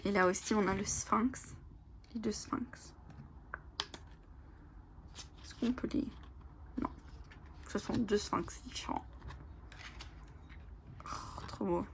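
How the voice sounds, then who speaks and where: A woman whose first language is Italian, casually presenting oracle cards, reading their lines aloud slowly and whispering in awe, with many fillers. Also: medium pitch, gravelly, a little hiss or hum. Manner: soft, often whispering in awe, hesitant with fillers. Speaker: oracle card channel host.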